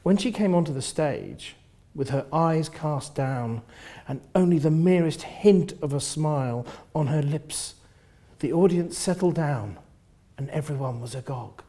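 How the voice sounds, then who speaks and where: A man with a husky voice reading first-person literary fiction in an expressive, theatrical manner, often hushing the ends of phrases. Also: on the high side, unhurried. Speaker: reader of a novel.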